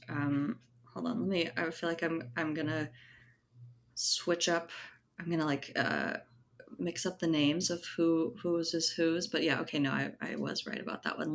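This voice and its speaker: Woman w/ a low voice, casual podcast guest, thinks out loud, bit of background noise. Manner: conversational, thinking aloud, often tags points with "right?". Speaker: guest, writer and editor. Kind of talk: casual podcast interview chat.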